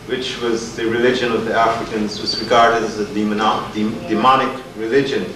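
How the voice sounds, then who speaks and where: A man's voice: on the low side, little pitch movement, fairly quick. Man hosting in an echoey hall. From Guyana. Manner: earnest, rhetorical, emphatic. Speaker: event host. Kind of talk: community lecture introduction. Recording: echoey hall, distant mic, noisy.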